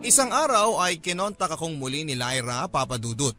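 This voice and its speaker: A man's voice: fairly high pitch, sing-song, brisk. Man narrating radio love story over music. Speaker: radio storyteller reading a letter. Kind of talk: first-person dramatic narration in classic Filipino radio style.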